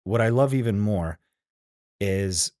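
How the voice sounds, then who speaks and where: Very deep-voiced male host. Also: slow, a little mellow, dry recording, clean studio sound. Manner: affirming, easygoing. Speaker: interview host. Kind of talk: casual interview talk.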